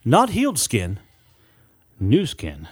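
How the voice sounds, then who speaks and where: A man reading scripted horror fiction as an audiobook narrator, in a dramatic, deliberate storytelling manner with weighted pauses. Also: mid-range voice, wildly swooping pitch, husky.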